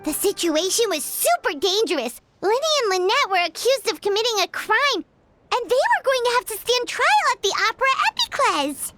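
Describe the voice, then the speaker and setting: A woman voicing a tiny, excitable, boastful fairy companion with a squeaky childlike voice in a video game. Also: moderate pace, over music. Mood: boastful, excited.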